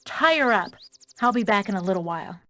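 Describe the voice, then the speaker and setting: A woman's voice: medium pitch, lively intonation, a little fast, background noise, close to an everyday voice. An actress playing a smug, vengeful young female villain in a video game. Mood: gloating, menacing. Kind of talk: scripted video game acting.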